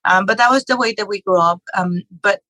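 A woman's voice: medium pitch, a little fast, sharp tone, no room sound, low-quality recording. A middle-aged woman from Mexico, a real estate investor and author, telling her story as a podcast guest with many fillers and restarts. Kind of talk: conversational interview.

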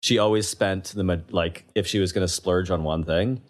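A deep-voiced man in his forties from western Canada chats as a podcast guest. He tells lively stories, laughs often, and trips over his own restarts. His pitch sits very low. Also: normal speed. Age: 40s. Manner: animated storyteller, laughs often, stumbling restarts. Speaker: guest, investment CEO. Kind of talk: casual interview chat.

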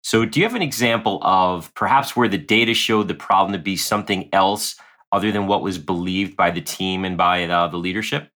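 Lower-voiced conversational man host. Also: fairly quick, pushed and bright. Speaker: podcast co-host, sales leader. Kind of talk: podcast hosting.

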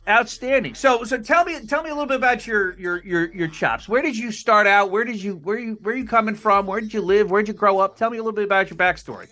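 High, bright-voiced enthusiastic podcast host. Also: male voice, fast talker, hardly any pauses. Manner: enthusiastic, stammers and repeats words. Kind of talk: upbeat interview hosting.

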